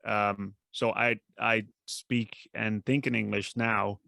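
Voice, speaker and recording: Gravelly man, relaxed. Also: lowish voice, slow pace, no echo. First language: Dutch. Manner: relaxed storytelling with laughs. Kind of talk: casual chat.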